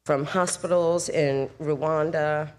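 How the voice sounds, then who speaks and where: A woman's voice: low voice, steady tone, a little mellow. A woman giving a formal, emphatic introduction speech at a ceremony. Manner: emphatic, measured. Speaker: speaker introducing the architect.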